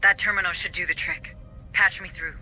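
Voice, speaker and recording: An actor playing a matter-of-fact technical guide who advises a squad in a scripted sci-fi game. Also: male voice, high voice, steady tone, fairly quick, bright, forceful tone, faint music behind. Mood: focused.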